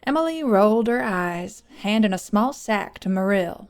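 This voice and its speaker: A woman's voice: mid-range voice, expressive, normal speed, clear, resonant tone, lightly acted. A woman reading scripted audiobook narration as the storyteller.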